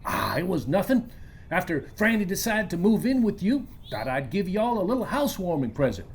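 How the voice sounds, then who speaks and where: An actor playing a breezy, slangy handyman in a radio play, full of corny flattery, with a husky voice. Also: mid-range voice, fairly quick. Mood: boastful, chummy. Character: folksy, jokey, slangy male handyman and flatterer.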